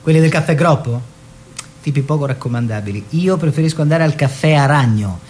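A man's voice: brisk, darkish tone, lightly acted. An actor playing a theatrical man of the arts world, declamatory and ironic, in a radio drama style. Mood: teasing. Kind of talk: theatrical narration and dialogue for radio. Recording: radio play with faint noise and rumble.

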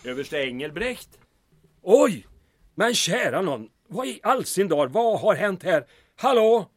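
A man acting a pompous, officious middle-aged mayor in an audio drama, talking in long-winded bureaucratic phrases. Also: high voice. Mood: flustered.

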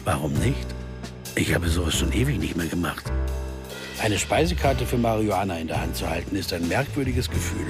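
A man's voice: low-pitched, animated, normal speed. A man acting in a radio crime drama as a restless amateur sleuth, narrating his own story in a reflective, intimate way. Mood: pensive. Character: troubled male American amateur sleuth, introspective, driven, restless. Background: music.

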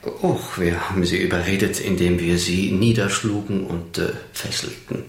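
An actor playing a gallant, blustering, easily baffled adventurer with formal, emphatic manners in a German radio drama. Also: male voice, deep voice, fairly even intonation, moderate pace. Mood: self-satisfied. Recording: echoey room.

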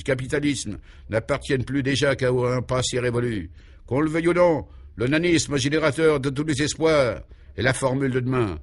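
A man's voice: mid-range voice, natural voice. A grandiloquent male zealot, put on by a radio narrator, orating for a comic serial. He is pompous and fanatical, stressing his words like a tribune on a platform. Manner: emphatic, declamatory, grandiloquent. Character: pompous, fanatical male party militant, bombastic orator.

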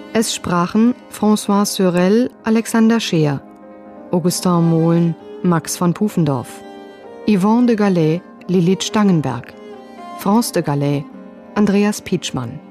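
Female announcer for radio drama credits, formal scripted read, lowish pitch, soft mellow tone, orderly delivery. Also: slow. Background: faint string music.

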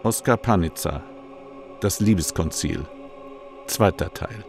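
A man's voice: low voice, slow pace, darkish tone, faint music behind. A man reading a scripted radio announcement of title and cast.

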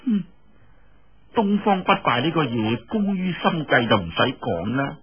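A proud young woman of the martial world, put on by a male storyteller, talks in a raised, husky voice. Her phrasing is drawn out and disdainful, swinging in pitch. The audiobook sound is muffled. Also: big pitch swings, a little slow, full character voice. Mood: thoughtful. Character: young woman, proud, scornful daughter of sect leader.

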